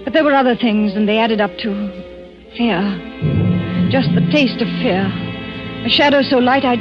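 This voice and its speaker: A woman plays a young, trusting bride with a fairly high voice and an earnest, anxious, questioning manner. It is 1940s radio drama acting. The sound is dull, with prominent music behind it. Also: normal speed, lightly acted. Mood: uneasy. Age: young adult.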